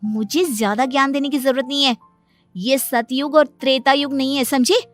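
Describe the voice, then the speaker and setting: A woman acts out a spiteful stepmother from a cartoon tale. She scolds in a raised, sarcastic voice and has a sharp tongue. Music plays underneath. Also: sits high, fairly even intonation, moderate pace, no room sound, pitched above the performer's own voice, close to an everyday voice. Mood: irritated scolding. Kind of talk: dramatised moral cartoon story.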